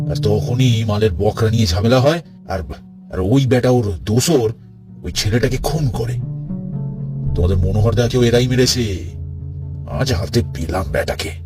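A man's voice: lowish voice, close to an everyday voice. A man voicing a pompous, bullying police inspector in a cartoon, blustering and self-satisfied with a Bengali accent. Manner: blustering, self-satisfied, hectoring, halting repeats. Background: music.

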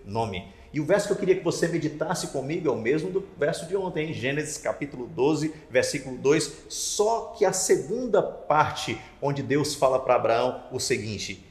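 Man, thirties, devotional teacher, mid-pitched voice, emphatic questions, echoey indoor studio. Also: moderate pace. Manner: expressive, gesturing, rising emphatic questions. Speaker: Bible study teacher. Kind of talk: devotional Bible lesson to camera. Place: indoor studio with wooden slat wall. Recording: roomy with long reverb.

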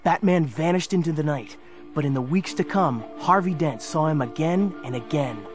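An actor playing an earnest, youthful superhero sidekick, narrating a children's audio drama. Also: male voice, a little high, expressive, moderate pace, close to an everyday voice. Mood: earnest storytelling. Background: music.

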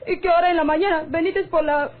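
Defiant Mexican peasant wife, very shrill pitch. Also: female voice, fairly even intonation, fast. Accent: rural northern Mexican. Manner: plaintive, rustic folksy speech. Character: defiant, aggrieved rural peasant wife, sharp-tongued. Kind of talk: old Mexican radio drama acting. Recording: tinny, band-limited old radio serial.

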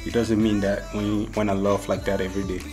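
A man's voice: fairly low pitch, level tone, a little fast. Playful young man chatting, music behind. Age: young adult. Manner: playful, teasing, loose rambling talk. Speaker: vlog co-host. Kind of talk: casual chat. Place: small indoor room.

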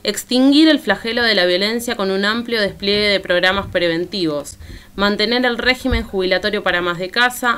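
A woman's voice: mid-range voice, fairly bright tone, slight background noise. A woman with an Argentine accent, speaking as a columnist in a radio discussion, reading out and commenting. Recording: music playing behind the voice. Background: music.

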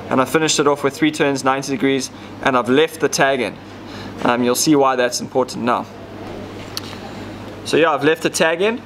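A man's voice: mid-range voice, a bit bright, a little hiss or hum. A man, casually explaining step by step how to rig fishing gear, with plenty of ums. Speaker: fishing tackle demonstrator. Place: indoor tackle shop counter.

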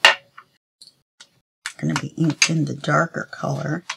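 A woman's voice: deep voice, flat delivery, a little slow, vocal fry. A woman hosting a craft tutorial, casually chatting to herself as she works, a little sniffly. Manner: chatty, talks to herself while working. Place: craft table at home. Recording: noisy home recording.

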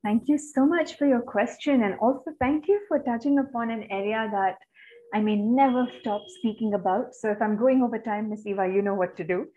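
Soft-spoken woman from India on a call. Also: on the high side, brisk. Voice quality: soft-voiced. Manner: earnest, reflective, articulate. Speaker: guest speaker. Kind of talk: webinar Q&A answer. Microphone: video call. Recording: slightly dull video-call audio.

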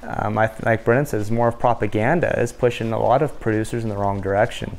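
A man's voice: lowish voice, fairly even intonation, fast talker, darkish tone, a little hiss or hum. A man in his thirties from Wyoming, a rancher in a documentary interview, talking earnestly with many restarts and fillers. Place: indoor room.

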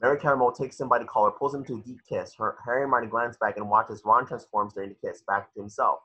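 A man with a husky voice narrating screenplay stage directions at a table read over a video call. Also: medium pitch, fairly even intonation, quick pace, bright, forceful tone, poor audio.

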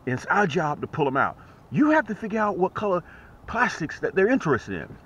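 A man hosting a casual fishing vlog from a small boat on a lake, excitable and repetitive, often dropping to a hushed voice as he talks straight to viewers. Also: high-pitched, a little fast. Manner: drops to a hushed voice on the water. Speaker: fishing channel host. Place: small boat on a lake outdoors, early morning rain. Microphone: action camera mounted on the boat. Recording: dull lo-fi camera audio with faint outdoor noise.

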